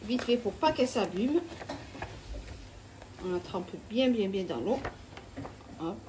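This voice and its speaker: A woman's voice: medium pitch, lively intonation, takes it slowly, background noise. A woman hosting a home cooking video, chatting step by step and talking straight to her viewers. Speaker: cooking channel host. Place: home kitchen.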